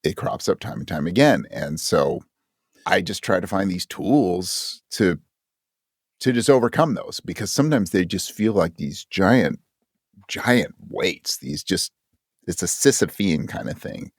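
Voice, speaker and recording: A man's voice: sits low, sing-song, measured pace, no room sound, clean studio sound. A man speaking as a writer guest in a casual interview, candid and thinking aloud, often acting out his own thoughts as quotes. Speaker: guest audio drama writer.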